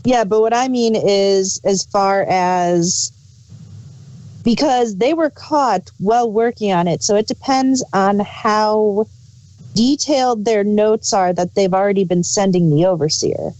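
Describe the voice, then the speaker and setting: Slow-talking woman with a mellow voice. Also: mid-range voice, low-quality recording. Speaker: podcast co-host reviewing audio drama. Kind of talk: casual review chat.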